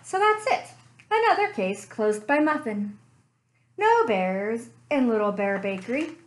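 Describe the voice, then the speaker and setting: A woman's voice: on the high side, big pitch swings, unhurried. A woman voicing a proud little male cat who guards a bakery, in a smug, matter-of-fact storybook voice.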